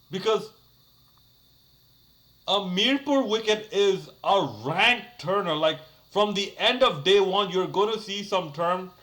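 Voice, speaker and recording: A young man hosts his own cricket review channel and talks off the cuff. His voice sits a little high and rings bright. He rambles, restarts sentences and fills gaps with "uh" and "like". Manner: chatty, rambling, many fillers and restarts. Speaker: cricket YouTuber. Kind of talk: casual solo match review.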